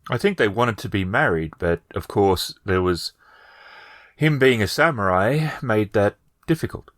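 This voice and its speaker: A man voicing a plainspoken village man in a feudal fantasy Japan tabletop game, speaking in a measured, formal, halting way. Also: on the low side, expressive, no room sound, clean studio sound. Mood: somber, reflective.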